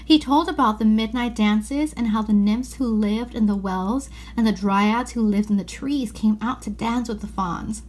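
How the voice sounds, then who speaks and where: A woman narrates a children's storybook. Her voice is on the high side, and she reads the scripted prose expressively. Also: moderate pace, few pauses, darkish tone. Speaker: storybook reader.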